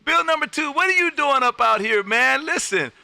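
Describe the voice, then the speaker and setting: A man's voice: very high voice, animated, moderate pace, creaky, pushed and bright, no room sound. A middle-aged American man hosting a live ham radio show from his radio room, with exuberant showman patter and repeated phrases for emphasis. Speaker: amateur radio operator and livestream host.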